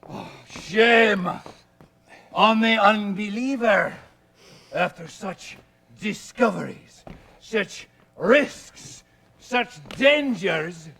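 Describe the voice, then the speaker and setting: An actor playing a witty, swaggering poet who pretends to be a raving space traveler, talking in a flamboyant, theatrical, grandly rambling way. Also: male voice, high-pitched, drawn-out words, pushed and bright, exaggerated voice. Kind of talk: stage-style period drama acting.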